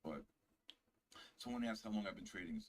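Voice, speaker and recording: A man in his sixties with a low voice that leaps up and down as he talks. He chats on a live stream in a boastful, teasing way, sure of his trading calls. His delivery is lively and full of swings. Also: moderate pace, slight background noise. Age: 60s. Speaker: guest trader. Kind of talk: casual chat on a live stream.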